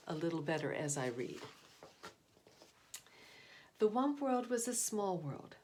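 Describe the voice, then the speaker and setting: A woman's voice: fairly low pitch, darkish tone, a little hiss or hum. Woman reading a children's story aloud. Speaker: volunteer picture-book reader. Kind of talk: read-aloud children's story narration with asides to listeners.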